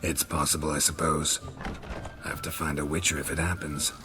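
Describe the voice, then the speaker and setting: An actor playing a gruff, world-weary monster hunter in a video game, terse and deadpan with a gravelly voice. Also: male voice, fairly quick, music in the background. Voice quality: low, gravelly. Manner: terse, deadpan, clipped sentences. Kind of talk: video game character acting.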